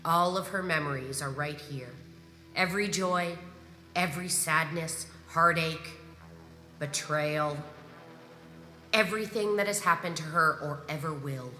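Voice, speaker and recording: An actress playing a composed, commanding imperial grand marshal in a sci-fi audio drama, measured and probing. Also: female voice, sits low, bright, forceful tone. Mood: ominous, measured. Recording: echoey hall reverb, music underneath.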